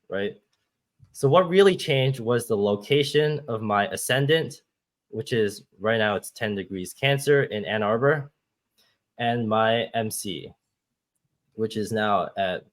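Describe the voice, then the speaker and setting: A man from Hong Kong, a guest astrologer, patiently explaining step by step over a video call. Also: on the low side. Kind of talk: explaining with shared screen.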